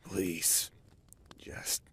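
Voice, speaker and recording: A man playing a bitter, defeated, badly wounded soldier in a radio drama, gravelly and in pain. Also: very slow, fairly bright tone, in a whisper. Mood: agonised, defeated.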